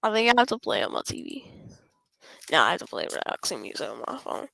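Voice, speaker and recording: Female live streamer, bright and animated, casual and scattered, apologetic, unscripted, dry sound. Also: on the low side, quick pace, gravelly, no room sound. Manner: scattered, apologetic, thinking aloud. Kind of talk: casual unscripted livestream chat.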